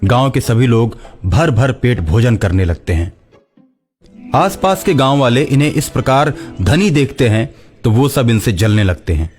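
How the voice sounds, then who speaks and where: A man's voice: mid-range voice, sing-song, measured pace, a little mellow, faint music behind. A man narrating a scripted moral story as a storyteller.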